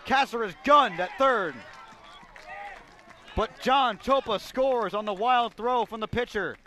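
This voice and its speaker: Bright-voiced man calling baseball play-by-play. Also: very high voice, takes it slowly. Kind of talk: live radio play-by-play commentary.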